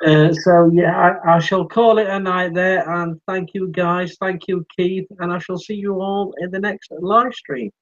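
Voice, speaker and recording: An English man hosting a casual live-stream interview, his voice wearing out and going hoarse. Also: high voice, little pitch movement, a little slow, few pauses. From England. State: losing his voice. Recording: dull lo-fi sound.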